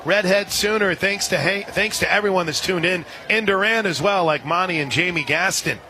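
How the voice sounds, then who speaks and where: A man doing live radio play-by-play commentary of a softball game. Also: high-pitched, moderate pace, rough recording. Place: outdoor softball stadium broadcast position. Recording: outdoor ballpark, clear crowd noise behind.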